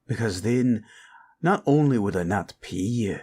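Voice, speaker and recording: A man playing a greedy, menacing green dragon in a villain roleplay audio, gravelly and drawling as he gloats and haggles. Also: on the low side, animated, a little mellow. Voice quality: gravelly, creaky. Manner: slow, drawling, grumbling and haggling.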